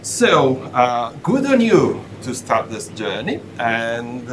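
A man's voice: very animated, takes it slowly. A man, an Italian lecturer, giving an interactive welcome talk, questioning the audience and hesitating often. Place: university lecture room. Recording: noisy lecture room with rumble.

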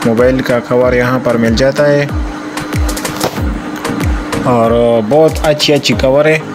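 A young man from Pakistan talks a phone-camera vlog with a voice of middle pitch. He speaks in a halting, easygoing way, filling pauses as he goes. Music plays clearly beneath him. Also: fairly even intonation. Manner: halting, many fillers and pauses. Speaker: vlogger. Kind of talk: casual vlog narration. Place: outdoor market, then indoors at end. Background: background music.

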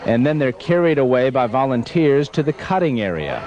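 A man's voice: mid-range voice, sing-song, moderate pace, lo-fi sound. Casting: man, news anchor, mid-range voice, broadcast delivery, slight ambient noise behind. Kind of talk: scripted news narration and live interview.

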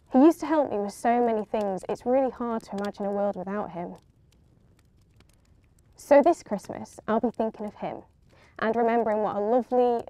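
A woman in her twenties reads stories aloud to the camera. Her voice is mellow and soft-edged, at a middling pitch, with nothing sharp in it. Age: 20s. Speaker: story reader presenting children's stories.

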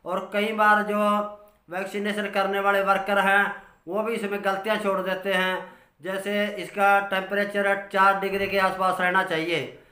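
Older male farmer, 60s, bright forceful voice with little pitch movement, explaining from notes. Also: little pitch movement, normal speed. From Haryana, India. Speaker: dairy farmer giving advice. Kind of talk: informal instructional talk from notes. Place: small curtained room at home. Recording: roomy indoor echo.

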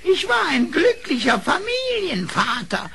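A man's voice: very high voice, wildly swooping pitch, measured pace, creaky, bright, forceful tone, slight background noise, full character voice. An actor playing a grieving rooster father in a children's radio play, tearful, wailing and pleading. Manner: tearful, lamenting.

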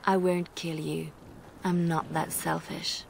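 Low-voiced, guarded young woman bard. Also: little pitch movement, slow, gravelly, heavily acted. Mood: firm, defiant. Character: young female bard, wounded, guarded and resolute. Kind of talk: dramatic game dialogue. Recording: faint background noise.

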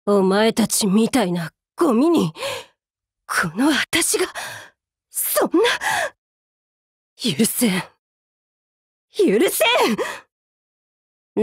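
A sadistic female android commander for an anime game. The voice is fairly high and swings widely, unhurried and close in a dry recording. The delivery is mocking and arrogant, with rough, taunting menace. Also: big pitch swings, no echo, heavily acted. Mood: outraged disbelief. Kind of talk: anime game character voice lines.

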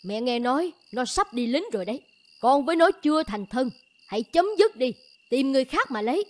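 Woman playing a high-voiced, scolding mother. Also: expressive, fairly quick, darkish tone. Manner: scolding, lamenting. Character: stern, worried middle-aged village mother. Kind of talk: cartoon voice acting.

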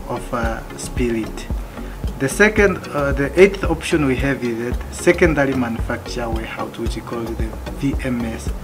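A man in his thirties from Namibia, calmly going through customs warehouse rules one by one, with some restarts. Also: mid-range voice. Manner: plain, methodical, listing items with occasional restarts. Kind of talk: explanatory presentation. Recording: music playing behind.

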